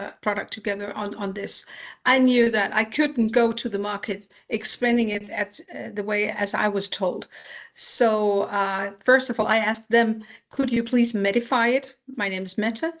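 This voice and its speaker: A woman's voice: mid-range voice, fairly bright tone. A middle-aged woman, a former company CEO, giving practical advice while answering audience questions in a webinar.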